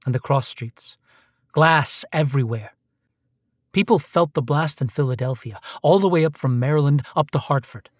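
Actor as a dogged detective, dry close sound. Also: male voice, a little high, moderate pace, no echo, close to an everyday voice. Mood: earnest, grave. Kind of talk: dramatic radio play acting.

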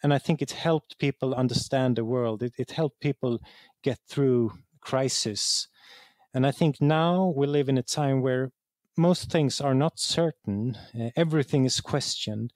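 A man's voice: medium pitch, unhurried, a little mellow, no echo, studio quality. A man from Sweden with a Swedish accent and Swedish as his first language, reflecting in an interview as a game designer, often repeating words as he searches for the right one.

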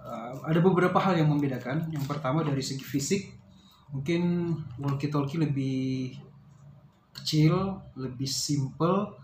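A man, explaining casually and hesitantly, as in an unboxing vlog. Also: on the high side. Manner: hesitant, pausing to search for words. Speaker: vlogger reviewing a product. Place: small bare-walled room indoors. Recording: roomy echo, faint background noise.

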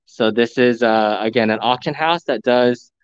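Man, excited live vlog commentary, mid pitch, dry, dull phone audio. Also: normal speed, clear, resonant tone, dry recording. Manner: excited, enthusiastic, addressing viewers. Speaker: vlogger, live streamer. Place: large indoor arcade showroom. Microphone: phone camera.

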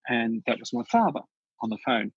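A man with an Australian accent, a podcast guest telling stories in a chatty, animated way with frequent restarts. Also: mid-range voice, normal speed, husky, dry recording, dull audio. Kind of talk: casual interview chat.

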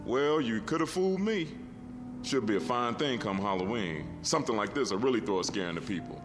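A man's voice: medium pitch, animated, a little fast, natural voice. An actor playing a chatty, folksy working man who is easily impressed, in a 1960s TV drama. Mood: amazed. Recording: vintage TV soundtrack with music underneath.

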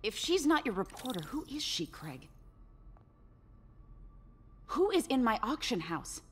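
An actress playing a polished, guarded woman art dealer in a video game, with a smooth, refined manner that turns curt when pressed. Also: on the high side, big pitch swings, measured pace, heavily acted. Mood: angry. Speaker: art auction house owner.

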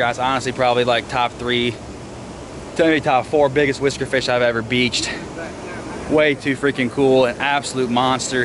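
A young man in his twenties filming a fishing vlog outdoors at night, talking excitedly and out of breath in folksy slang. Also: medium pitch. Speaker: fishing vlogger. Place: riverbank by a dam at night, outdoors. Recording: outdoor recording with loud water noise. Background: rushing water from the dam.

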